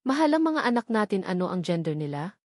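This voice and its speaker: A woman's voice: medium pitch, sing-song, quick pace, darkish tone, dry recording, studio quality. A woman reading the part of an anxious, loving young mother in an emotional, affectionate way, in a story. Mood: eager, happy.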